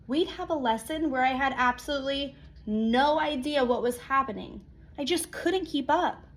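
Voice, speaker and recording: A woman reading a children's picture book aloud as a storyteller, expressive, with dramatic pauses and acted-out exclamations. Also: high voice. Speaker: read-aloud storyteller, teacher.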